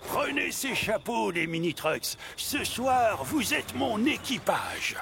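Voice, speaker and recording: An actor playing a jolly, bossy prankster pirate captain truck in a French children's cartoon, theatrical and commanding, with loud music behind. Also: male voice, high-pitched, big pitch swings, slow pace, gravelly, background noise, exaggerated voice. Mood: bossy, scheming. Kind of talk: animated children's cartoon acting.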